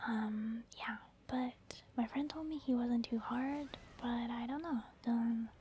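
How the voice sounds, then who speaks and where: A woman doing soft, whispered ASMR commentary over gameplay, chatting informally to her viewers. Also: fairly high pitch, slow, a little hiss or hum. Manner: informal, conversational, addressing viewers. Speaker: ASMR gaming creator.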